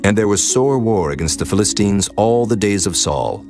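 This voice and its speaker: Male narrator, very deep voice, lively intonation, solemn, faint music. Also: normal speed. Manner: solemn, steady storytelling. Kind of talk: scripted scripture narration.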